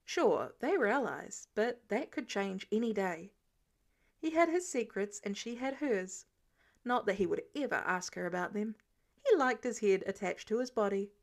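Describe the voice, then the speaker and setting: A woman narrating fan fiction in an expressive storytelling style. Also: mid-range voice, moderate pace, creaky.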